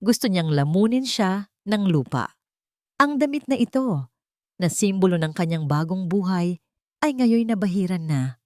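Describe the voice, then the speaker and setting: A woman narrating a scripted romance story in a deliberate, emotive, dramatic way. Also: medium pitch, animated, mellow, no echo, clean studio sound.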